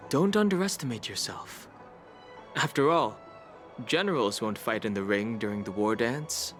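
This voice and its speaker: A man voicing a calm, reserved young warrior mentor in an anime-style video game. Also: medium pitch, moderate pace, soft background music, close to an everyday voice. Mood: composed, reassuring. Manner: composed, encouraging.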